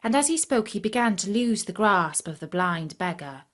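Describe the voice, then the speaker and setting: A woman storyteller narrates a children's fairy tale from a script. Her voice sits at a middling pitch and moves with very animated intonation. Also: moderate pace.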